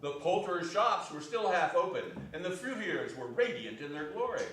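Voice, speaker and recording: A man's voice: high-pitched, expressive, moderate pace, a bit bright. A man reading narration aloud as part of a theatrical dramatic reading. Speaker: narrator and reader. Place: performance hall, live reading. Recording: echoey hall, distant microphone, noisy background.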